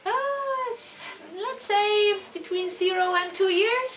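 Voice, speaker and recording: A woman's voice: very high-pitched, slow, fairly bright tone, a little hiss or hum. Chatty Swiss German-accented woman, roomy sound. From Switzerland. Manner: chatty, many fillers and restarts, laughs easily. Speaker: band singer, interview guest. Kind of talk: casual interview answers. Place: concert venue before a show. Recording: roomy sound from a distant mic, faint ambience.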